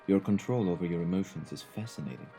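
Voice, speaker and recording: Guy acting real deep voiced mafia gentleman, polite n formal, calm but kinda ominous, asmr roleplay w soft music under it. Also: natural voice. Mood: intrigued. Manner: measured, formal, eloquent, softly ominous. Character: courteous, cultured male mafia henchman, calm and menacing. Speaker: audio roleplay voice actor.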